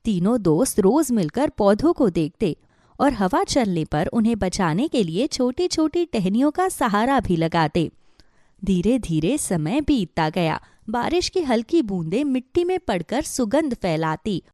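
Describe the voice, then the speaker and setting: A woman narrating a scripted children's story in an expressive storytelling manner. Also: mid-range voice, measured pace, dry recording, clean studio sound.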